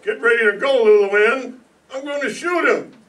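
A man playing a confused, deadpan, slow-witted gunman in a 1950s radio noir drama. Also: extremely high pitch. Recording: distant hall mic, loud noise.

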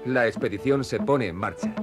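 A man's voice: on the low side, normal speed. A man narrating a children's cartoon in a playful storytelling manner, with music behind.

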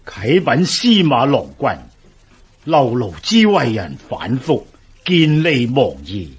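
Casting: cautioning court counsellor in radio drama, slow, husky, mid-pitched. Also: male voice, big pitch swings, muffled recording, exaggerated voice. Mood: grave warning. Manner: deliberate, formal. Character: earnest, cautioning court adviser. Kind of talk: Cantonese radio historical drama acting.

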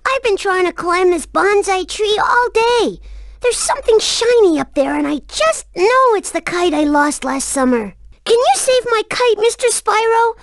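Tiny, very high-voiced kid creature. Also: female voice, animated, unhurried, dry recording, studio quality, full character voice. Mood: wistful, grateful. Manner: childlike, pleading. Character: small, earnest child creature, eager and innocent. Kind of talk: video game character dialogue.

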